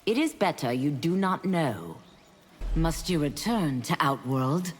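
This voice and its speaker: A resolute demon warrior from a fighting game. Her voice is low and expressive, solemn and formal, carried on long reverb. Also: a little slow. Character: resolute female demon seeking redemption. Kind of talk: video game character dialogue. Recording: long reverb, faint noise.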